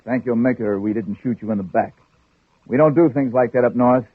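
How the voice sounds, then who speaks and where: A man's voice: medium pitch, brisk, breathy edge, natural voice. Brusque, mocking army sergeant on tinny radio , played by a man. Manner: brusque, sardonic. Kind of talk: 1940s-50s radio drama acting. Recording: tinny old radio, music behind.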